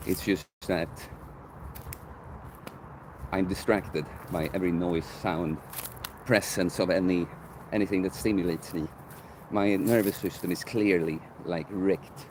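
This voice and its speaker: Deep-voiced man rambling on a live stream. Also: fairly even intonation, a little slow, long pauses, noisy background. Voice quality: deep bass voice. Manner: rambling, self-correcting, thinking aloud. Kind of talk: casual live-stream chat answering viewer questions. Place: outdoors in a park near sunset. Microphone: phone camera held by the streamer.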